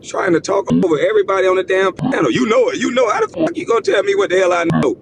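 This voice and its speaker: Host, man, blunt debater, gravelly and lively, noisy online call. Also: high-pitched, rapid-fire. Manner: blunt, cursing, pushes back hard. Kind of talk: live panel argument. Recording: lo-fi stream with audible background noise.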